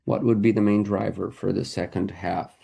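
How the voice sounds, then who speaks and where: A man interpreting a corporate earnings call live, speaking haltingly in short phrases. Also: deep voice, fairly even intonation, vocal fry, mellow, husky. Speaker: conference interpreter.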